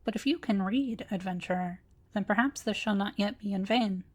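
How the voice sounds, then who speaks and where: A woman playing an ancient, courteous automaton librarian in a scripted audio roleplay, speaking formally with precise, measured diction. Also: medium pitch, a little mellow, lightly acted. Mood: formal, reflective. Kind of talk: scripted roleplay audio drama addressed to listener.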